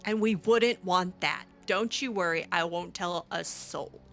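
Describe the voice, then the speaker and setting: A woman playing a friendly, flattering elf mage in improvised game roleplay, with an informal, hesitant, coaxing manner. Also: lowish voice, measured pace, soft background music. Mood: agreeable.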